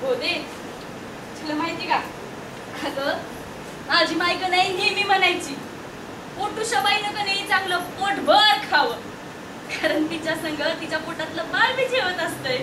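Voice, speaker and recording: A woman playing a bossy, sharp-tongued rustic elder sister in a stage play. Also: very high voice, normal speed, pauses often. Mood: tender. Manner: sharp-tongued, interrogating, teasing. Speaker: stage actor. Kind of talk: amateur one-act stage drama in rustic dialect. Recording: noisy echoey stage, picked up from far off.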